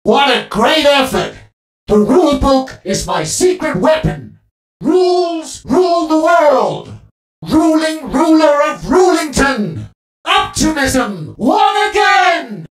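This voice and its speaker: High chirpy little robot ticket guy, super eager rule nerd, swoops all over, game voice. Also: sing-song, takes it slowly, roomy sound, distant mic, faint music behind, heavily acted, low-quality recording. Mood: upbeat, eager. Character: cheerful, rule-obsessed robot ticket attendant, eager and optimistic. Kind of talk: video game character voice lines.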